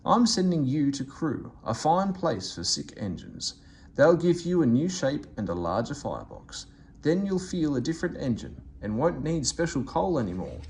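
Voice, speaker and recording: A man's voice: a little high, very animated, normal speed, a little mellow, slight background noise. A man voicing a reassuring, kindly railway boss with authority in a children's storybook.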